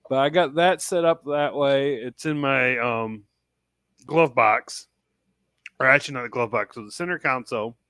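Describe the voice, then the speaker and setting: A man's voice: mid-range voice, measured pace, pauses often, pushed and bright, smooth, dry recording, studio quality. A man talking casually as a podcast panelist, describing gear on his truck.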